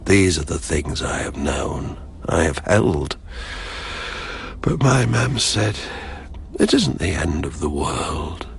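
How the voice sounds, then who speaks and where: An actor playing a haunted, grief-stricken father who has lost everything, in brooding, halting, bitter verse for a radio drama. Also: very deep voice, sing-song, gravelly, slight background noise, exaggerated voice. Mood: rueful. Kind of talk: poetic radio drama monologue.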